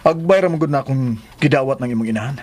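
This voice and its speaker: An earnest middle-aged man played by a radio actor pleads his devotion in a solemn, emphatic way. He has a Cebuano Filipino accent and a mid-pitched voice, with a clear music bed behind him. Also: fast, close to an everyday voice. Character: earnest, strict-looking middle-aged suitor proposing marriage. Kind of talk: Philippine radio drama acting.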